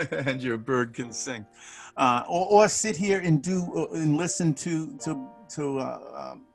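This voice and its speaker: A man in his sixties, a studio manager and bass player, chatting casually on a video call, rambling with many restarts and chuckles. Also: animated, slow pace, soft background music. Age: 60s.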